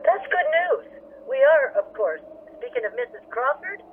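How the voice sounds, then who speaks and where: A woman playing a brisk female captain speaking in clipped procedure over two-way radio in a radio play. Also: high-pitched, very animated, a little hiss or hum. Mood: brisk, concerned. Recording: tinny narrow radio line.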